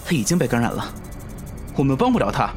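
A man's voice: medium pitch, sing-song, fast, faint music behind, natural voice. A man playing a decisive, tough, practical fighter in an audio drama. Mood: grim, urgent.

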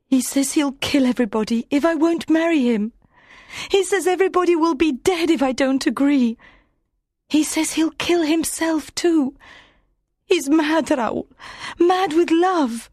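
A woman's voice: sits high, measured pace, darkish tone, no echo, clean studio sound. A woman playing a frightened, pleading young captive woman in audiobook dialogue. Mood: distressed.

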